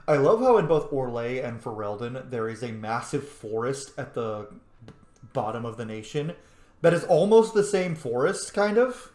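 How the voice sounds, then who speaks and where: A man's voice: mid-range voice, lively intonation, normal speed, darkish tone. Man chatting casually in an echoey room. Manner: chatty, opinionated fan talk with swearing. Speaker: gaming video host. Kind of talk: casual playthrough commentary.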